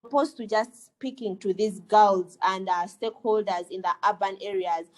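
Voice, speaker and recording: A woman from Uganda, a lawyer, speaking earnestly on a webinar panel, pausing often with fillers and restarts. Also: mid-range voice, rough recording. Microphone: video call.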